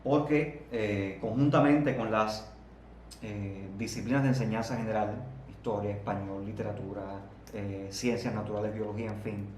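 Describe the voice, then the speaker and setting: A Cuban man in his thirties, guest in an interview, talking slowly and reflectively with frequent repeated words and pauses. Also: fairly low pitch, steady tone, a little mellow. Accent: Cuban Spanish. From Cuba. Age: 30s. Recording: echoey room, distant mic, faint noise.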